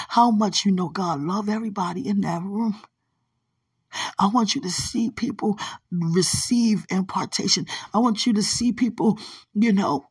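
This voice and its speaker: A husky woman minister delivering a slow prophetic word to a singer. She drifts between firm, raised exhortation and soft whispers, sounding drowsy as she speaks. Her delivery is unhurried and deliberate. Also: mid-range voice. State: drowsy, dozing off.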